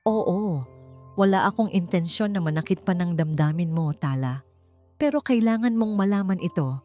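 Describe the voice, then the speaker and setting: A woman voicing a gentle, remorseful man in an audio love story, speaking softly and solemnly. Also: on the low side, expressive, normal speed, soft background music, close to an everyday voice. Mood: serious, gentle. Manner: soft-spoken, solemn.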